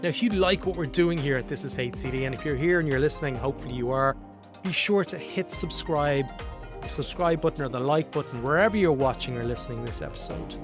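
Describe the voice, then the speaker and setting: A man's voice: mid-range voice, expressive, quick pace, music in the background. A man hosting a design podcast, reading an ad and welcoming his guest, with short encouraging replies. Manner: friendly, encouraging with short backchannels. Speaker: podcast host. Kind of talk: podcast interview.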